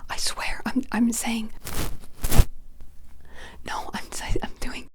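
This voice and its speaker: A woman's voice: fairly high pitch, monotone, takes it slowly. Whispering, flustered woman doing ASMR. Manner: fretful, flustered, repeats phrases over and over. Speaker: ASMR creator.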